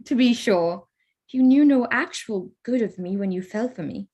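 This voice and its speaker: A witty young Regency gentlewoman acted by a woman with a fairly high voice. She is spirited and teasing yet keeps her composure, speaking in the formal phrases of a period romance read for the stage. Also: lively intonation, moderate pace, highs cut off. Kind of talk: staged reading of a period drama.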